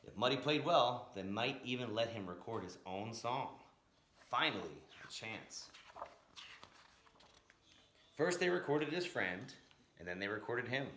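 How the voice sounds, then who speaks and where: A man reading a story aloud in an expressive, dramatic narrating style with emphatic, rhythmic phrasing. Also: medium pitch. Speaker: storyteller reading a picture book aloud, blues educator. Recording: roomy, echoing room sound.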